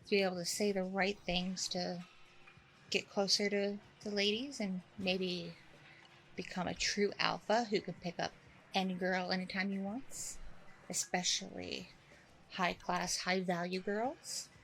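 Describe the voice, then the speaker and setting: A woman playing a chatty, self-assured dating coach in an ASMR roleplay, rambling and reassuring with a creaky voice. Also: fairly low pitch, flat delivery, measured pace, a bit bright, a little hiss or hum, rough recording. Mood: encouraging. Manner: rambling, hesitant asides, reassuring. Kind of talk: ASMR roleplay, one-sided conversation with the listener.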